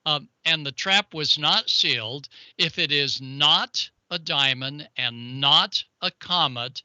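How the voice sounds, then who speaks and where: Very bright, punchy man explaining tech. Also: a little high, dry recording, highs cut off. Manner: folksy, playful asides and exclamations. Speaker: amateur radio show segment presenter. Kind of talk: informal technical explainer over pictures.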